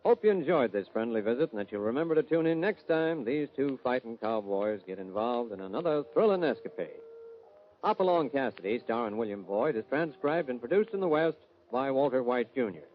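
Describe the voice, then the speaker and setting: A man's voice: mid-range voice, expressive, a little fast, a little hiss or hum. A man announcing a scripted 1950s radio show in a polished, theatrical manner. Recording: muffled old-time radio recording.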